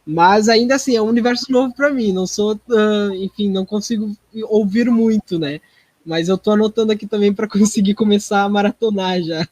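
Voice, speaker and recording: A man's voice: sits high, fairly even intonation, fairly quick, darkish tone, no echo. A man answering casually in an interview, thoughtful and a little hesitant. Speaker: podcast guest, theatre performer.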